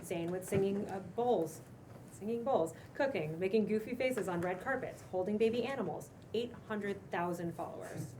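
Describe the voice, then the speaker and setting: A woman's voice: medium pitch, normal speed, a little mellow, recorded from a distance. A woman reading scripted stage directions aloud as narrator at a table read. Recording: noisy room recording.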